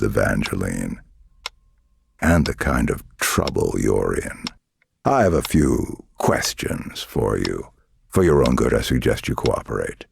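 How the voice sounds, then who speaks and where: An actor playing a menacing, condescending male government interrogator in a dramatic video game, speaking deliberately. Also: a little hiss or hum, exaggerated voice. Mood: threatening. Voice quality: deep, gravelly.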